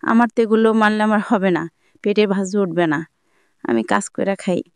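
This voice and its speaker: Clear-voiced young Bangladeshi woman, colloquial dialect. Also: mid-range voice, a little mellow, no echo, clean studio sound. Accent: Bangladeshi Bengali, colloquial Dhaka-area dialect. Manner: plain-spoken, repetitive, rambling. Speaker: guest telling own life story. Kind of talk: personal storytelling in plain colloquial speech.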